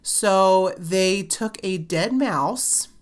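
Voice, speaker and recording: A woman's voice: mid-range voice, extremely slow. A woman hosting a casual storytelling vlog, chatty and giggly, full of "like" fillers, slightly congested as she recovers from a cold. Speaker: children's book author and channel host.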